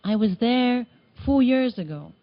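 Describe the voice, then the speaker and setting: A woman from Tanzania presenting a report at a meeting, speaking earnestly with some halting restarts, in a meeting room. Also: on the high side, lively intonation, lo-fi sound. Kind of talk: conference presentation.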